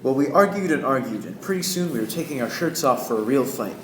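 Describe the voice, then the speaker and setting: A man's voice: mid-range voice, expressive, fast talker, a little hiss or hum, close to an everyday voice. A man playing a practical, boastful Yankee factory boss narrating his tale in an old-time radio play. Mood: wry, recounting. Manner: folksy, boastful. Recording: roomy sound under loud piano music. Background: piano music.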